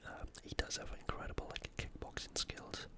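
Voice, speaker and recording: A host whispering an informal, opinionated sports preview in ASMR style, all breath and no voice. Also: moderate pace. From United Kingdom.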